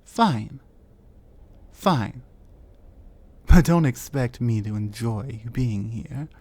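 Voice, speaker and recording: Slow-talking territorial troll woman, scornful and commanding, ASMR roleplay, voiced by a woman. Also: a bass voice, animated, dark tone, exaggerated voice. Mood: cold, mocking. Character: territorial female troll, huge, reclusive, cold. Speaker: voice actor.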